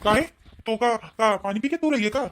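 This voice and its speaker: A man's voice: high voice, normal speed, fairly bright tone. A man voicing a whiny, put-upon, hungry village husband in a comic cartoon, with an Awadhi Hindi accent. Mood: questioning, appeasing. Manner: grumbling, complaining.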